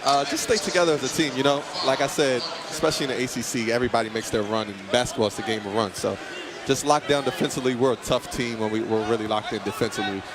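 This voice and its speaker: A man's voice: mid-range voice, fast. A young man, an injured college basketball player, giving an earnest courtside interview and saying "you know" a lot. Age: young adult. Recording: loud arena crowd noise. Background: crowd and game noise.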